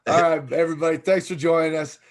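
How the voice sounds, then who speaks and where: A man's voice: a little high, fairly even intonation, fast. A middle-aged man hosting a casual chat, laid-back and agreeable, laughing easily. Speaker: host.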